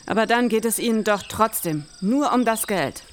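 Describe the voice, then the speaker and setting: A woman's voice: on the high side, animated, normal speed, slight background noise. A woman hosting an investigative podcast in scripted, dramatised style, as narrator and interviewer.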